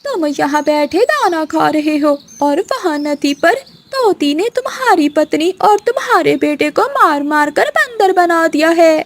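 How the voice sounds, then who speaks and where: Angry cartoon mother bird, played by a woman: high-pitched, indignant, swinging widely in tone, quarrelsome. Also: animated, measured pace, exaggerated voice. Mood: indignant, scolding. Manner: scolding, indignant. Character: angry quarrelsome female crow and parrot mothers. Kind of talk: Hindi animated storybook acting.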